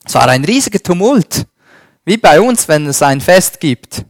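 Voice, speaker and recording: A man preaching a sermon and reading scripture, slow and emphatic, swinging between loud stresses and a dropped voice. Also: a little high, sing-song, no echo, clean studio sound.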